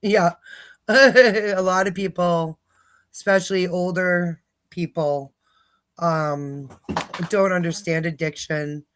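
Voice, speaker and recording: Hoarse lady on a phone livestream, rambly, lots of ums. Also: on the low side, fairly even intonation, slow pace, background noise. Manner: rambling, many fillers, frequent laughs. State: hoarse, losing her voice. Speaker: livestream host. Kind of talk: candid personal talk about recovery.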